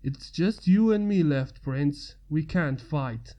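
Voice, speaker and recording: A woman's voice: sits low, lively intonation, takes it slowly, mellow, lo-fi sound, pitched below the performer's own voice. A woman voicing a loyal, resolute male friend of a prince in a fantasy story, firm and urgent.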